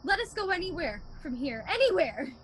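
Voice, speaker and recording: A woman's voice: extremely high pitch, very animated, brisk, a little hiss or hum. A woman playing a frightened miser in a radio play, pleading dramatically and emphatically. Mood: distraught, indignant. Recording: home video call recording with music.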